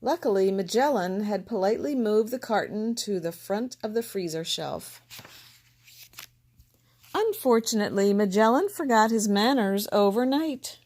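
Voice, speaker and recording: A woman reading a children's picture book aloud, with gentle, expressive narration that voices each character. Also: mid-range voice, normal speed. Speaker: storybook reader.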